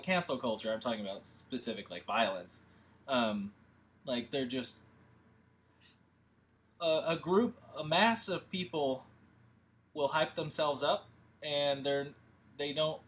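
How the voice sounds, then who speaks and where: A man hosting a casual livestream, talking in a rambling, halting way as he thinks aloud. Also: medium pitch, a bit bright. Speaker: host and filmmaker.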